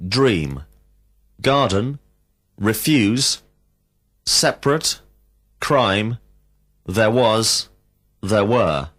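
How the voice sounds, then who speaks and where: A man reading out slow, carefully enunciated drill questions as an English teacher in a recorded lesson. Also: on the low side, animated, a bit bright. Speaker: language teacher.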